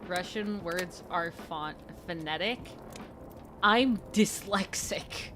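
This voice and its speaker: A woman's voice: on the low side, takes it slowly, noisy background. A woman streamer in casual chat, rambling and laughing often with faint music behind her.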